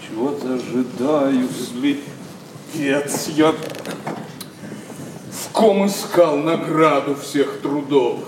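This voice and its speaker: An actor playing a heartbroken, bitterly indignant young nobleman, declaiming classical verse with sarcasm in a radio play. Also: fairly high pitch. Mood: bewildered, wounded. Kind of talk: classical verse stage acting. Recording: echoey hall, distant, faint noise.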